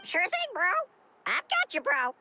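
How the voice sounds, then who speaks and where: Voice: very high voice, wildly swooping pitch, a little slow, a little hiss or hum, exaggerated voice. A performer voicing a tiny, cheeky talking saurian sidekick in a video game, chirpy and slangy. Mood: cheerful. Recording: loud music behind voice.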